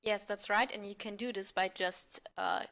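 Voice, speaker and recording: A woman speaking as a podcast guest, improvising role-play and mock pitches in an amateur theatre manner. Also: fairly even intonation, normal speed, a bit bright.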